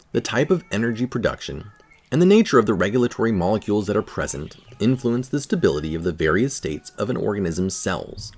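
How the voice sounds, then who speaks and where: Low-voiced man reading with lively pitch. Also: vocal fry. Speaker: article reader. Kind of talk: reading a scientific article aloud.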